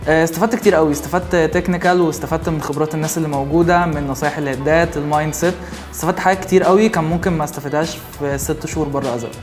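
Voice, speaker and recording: A man's voice: on the high side, fairly even intonation, very fast. A young man with an Egyptian accent, recently graduated, giving an earnest testimonial full of filler sounds. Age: young adult. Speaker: intern. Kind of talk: testimonial interview. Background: music.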